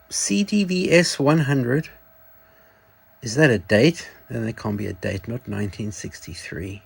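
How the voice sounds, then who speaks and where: Male video host, slightly high pitch, very animated intonation; chatty, unscripted show-and-tell with musing asides. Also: creaky, breathy edge. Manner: chatty, musing aloud with self-corrections. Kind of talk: casual show-and-tell product demonstration.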